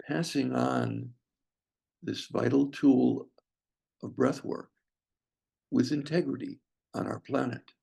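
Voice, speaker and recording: Slow, gravelly man guiding meditation. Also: mid-range voice, dark tone. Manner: slow, pausing delivery in short phrases. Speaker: breathwork teacher leading a guided meditation. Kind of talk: guided meditation.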